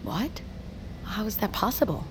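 A woman's voice: mid-range voice, sing-song, unhurried, background noise. Easygoing female crew member. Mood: concerned, supportive. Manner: casual, self-deprecating. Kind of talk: naturalistic audio drama acting.

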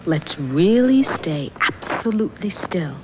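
An actress playing an earnest, spooked young woman in a 1970s radio drama, often speaking in a hushed whisper. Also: lowish voice, very animated, takes it slowly. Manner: hushed, whispering over the board. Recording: muffled old radio broadcast, faint hiss.

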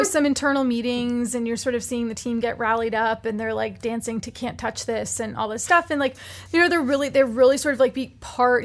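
Chatty higher-voiced woman host. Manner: chatty, animated, lots of fillers. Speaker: podcast host. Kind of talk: casual chat, recommendation segment.

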